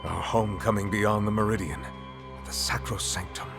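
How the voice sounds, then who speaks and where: Solemn fantasy game envoy, low-pitched, eloquent, courtly, measured, prominent music behind. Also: male voice, steady tone, vocal fry. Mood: urgent pleading. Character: solemn, courtly male envoy of a queen, steely beneath courtesy. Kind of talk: cinematic fantasy game acting.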